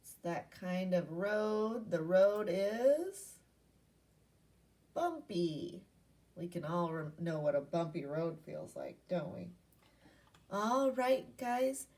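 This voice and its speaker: A woman's voice: fairly low pitch, animated, slow, a little mellow, distant mic. A woman primary school teacher giving an interactive read-aloud lesson to young children, asking questions and repeating key points in an instructional way.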